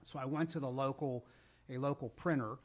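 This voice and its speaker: A middle-aged man with an American accent and a mid-range voice. He speaks as a podcast co-host, telling a story in a conversational, deliberate way, pausing as he goes. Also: mid-range voice.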